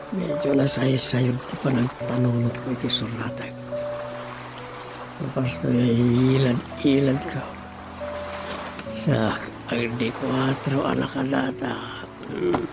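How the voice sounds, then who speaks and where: A man's voice: medium pitch, takes it slowly, gravelly, pauses often, music in the background. A man acting a musing villager who thinks aloud in an Ilocano radio comedy, with an Ilocano accent. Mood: pondering. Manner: thinking aloud, with hums and sighing interjections.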